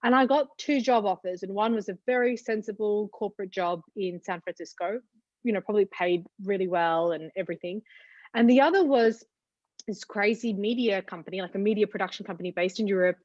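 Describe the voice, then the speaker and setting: A woman's voice: medium pitch, fairly quick, no echo, highs cut off. A woman in her thirties with an Australian accent, answering interview questions reflectively with many fillers and restarts. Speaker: guest.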